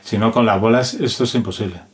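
A man's voice: on the low side, fast. A man in his fifties with an accent from Spain explains his craft casually and demonstratively, adding short tag questions. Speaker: instrument maker being interviewed. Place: instrument workshop. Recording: noisy on-location interview recording.

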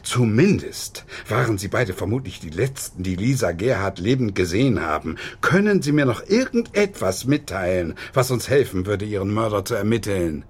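Police inspector, low lively voice, dry, sardonic, probing, radio crime drama, noisy background. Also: male voice, normal speed, few pauses, breathy edge. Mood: stern, dry.

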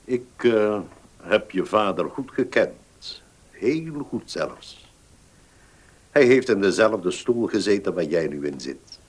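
A very low, husky voice belongs to this elderly notary in a radio play. He is weary and courteous, choosing formal words slowly and with hesitation. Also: expressive, exaggerated voice. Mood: reminiscing, measured. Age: older. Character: weary old village notary, courteous and measured. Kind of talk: Dutch radio drama acting.

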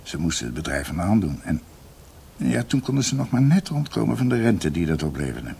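An actor playing a brooding doctor who recounts his family's history in a halting, reflective, emphatic way, as in a radio drama. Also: sits low, sing-song, creaky, warm tone, slight background noise, heavily acted.

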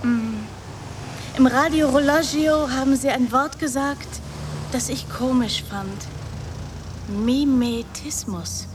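A woman's voice: high voice, takes it slowly, noisy background. An actress playing a naive, meek young woman in a radio drama, with an artless, childlike sense of wonder. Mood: dreamy, wondering.

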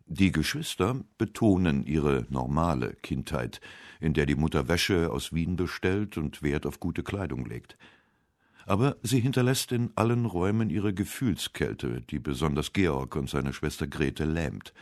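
Very deep-voiced male documentary narrator. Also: animated, a little slow, darkish tone, dry recording, studio quality. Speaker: radio essay narrator.

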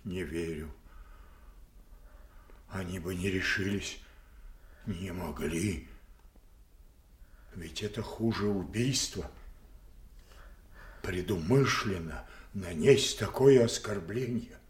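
Slow, low-voiced proud old king. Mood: disbelieving. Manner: imperious, declamatory, weighty. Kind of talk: classical stage tragedy in audio play. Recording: echoey roomy studio recording.

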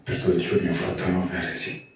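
A man giving a slow literary reading of poetic prose. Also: low-pitched, monotone, faint music behind. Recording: roomy echoing space, distant mic, faint noise.

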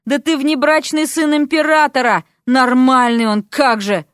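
A blunt undercover bodyguard from a storybook, played by a woman, high-voiced in a dry recording. He sounds thoroughly fed up, emphatic and exasperated with his young charge. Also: sing-song, fairly quick, a bit bright, no echo. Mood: scornful.

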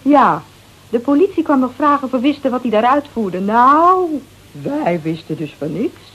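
A flippant, bored housewife in a radio play, speaking Netherlands Dutch. Her voice sits high and swings widely in pitch, with a slightly husky edge. She is brash, gossipy and theatrically expressive. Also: normal speed, highs cut off. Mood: indignant, probing. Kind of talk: radio drama acting.

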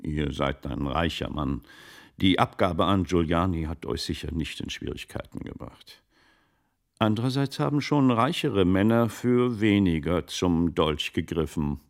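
An actor playing a shrewd, courteous gentleman of old Italy in a German radio play, with formal, measured delivery. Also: deep voice, expressive, creaky, heavily acted. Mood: pointed.

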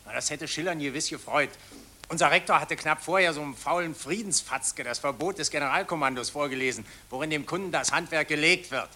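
A man's voice: on the high side, a little fast, sharp tone. A man playing a hearty, pompous young nationalist student in a 1940s radio play, with exuberant, declamatory delivery.

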